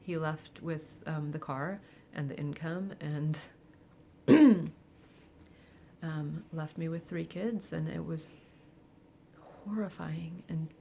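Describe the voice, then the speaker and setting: A woman of forty speaking candidly and reflectively in a personal vlog, with soft laughs and hesitant fillers. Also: low-pitched, steady tone, slow pace, creaky, husky. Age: 40s. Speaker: vlogger. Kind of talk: personal confessional monologue.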